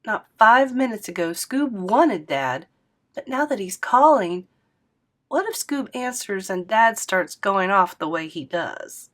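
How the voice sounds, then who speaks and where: A woman reads a children's novel aloud in a steady, expressive storyteller's voice. Her pitch sits right in the middle for a woman, and she narrates evenly, line by line. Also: slow. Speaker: read-aloud host.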